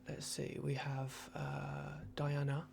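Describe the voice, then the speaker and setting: A man in an ASMR roleplay, playing a polite, flustered vampire at a clinic in a hushed, hesitant, apologetic way. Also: mid-range voice, monotone, slow, gravelly, slight background noise.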